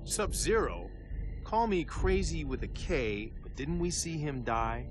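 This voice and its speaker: Slow, wisecracking young action hero. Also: male voice, on the high side, sing-song, music in the background. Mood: flippant. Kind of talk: video game cutscene acting.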